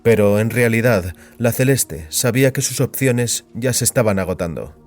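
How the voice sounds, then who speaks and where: A man narrating a dramatized story as scripted fiction, in a grave, deliberate storytelling manner. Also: medium pitch, a little mellow. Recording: music behind the voice.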